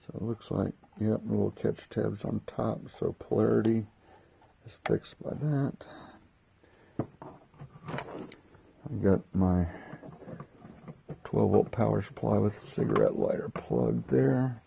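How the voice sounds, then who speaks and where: A man hosting a casual unboxing video, talking haltingly as he thinks aloud while handling gear. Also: fairly low pitch, animated, creaky, dark tone, breathy edge. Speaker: host of a hobby unboxing video. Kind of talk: casual unscripted product walkthrough.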